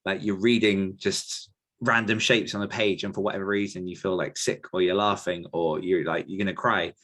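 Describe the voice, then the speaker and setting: A man speaking casually and reflectively as an author being interviewed, often pausing to search for his words. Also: low-pitched, steady tone, fairly bright tone. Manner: thoughtful, halting, searching for words. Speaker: podcast guest author answering questions.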